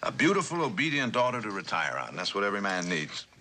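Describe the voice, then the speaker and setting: A man acting a weary, wryly musing air force pilot and family man in an old television drama, speaking in a dry, halting way. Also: fairly low pitch, big pitch swings, bright, forceful tone. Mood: wistful, wry. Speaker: actor. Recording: noisy, clicky old television soundtrack.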